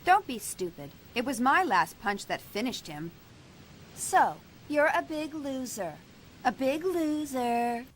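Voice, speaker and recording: A woman's voice: high voice, very animated, slow pace, a little hiss or hum, heavily acted. A woman voicing a cocky teenage girl ninja who taunts her rival, in an English anime dub. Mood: taunting.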